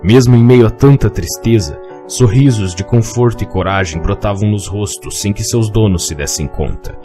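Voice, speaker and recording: Deep-voiced Brazilian man telling a fantasy tale. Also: normal speed, dark tone. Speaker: storyteller and narrator. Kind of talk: scripted dramatic narration of a fantasy tale. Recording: music behind the voice. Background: musical backing.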